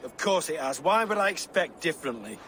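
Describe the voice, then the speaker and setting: Brief: sardonic Norse nobleman, resigned and dry, slightly high voice, game dialogue with faint noise. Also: sing-song, brisk, fairly bright tone. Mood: weary sarcasm. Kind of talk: video game dialogue acting.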